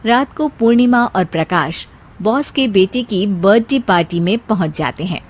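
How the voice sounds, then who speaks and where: A woman narrating a cartoon moral story in calm, scripted storytelling. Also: mid-range voice, moderate pace, husky.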